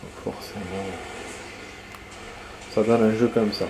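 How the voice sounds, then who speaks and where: A man's voice: on the low side, flat delivery, slow pace, background noise. A man with a cold and a nasal voice, giving casual commentary while playing a video game, rambling with many fillers and restarts. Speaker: gaming video host.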